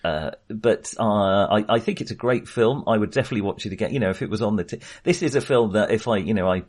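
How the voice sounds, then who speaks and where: A man's voice: on the low side, fast, a little mellow. A man in a casual film discussion as a podcast host, talking in a rambling way that often qualifies itself.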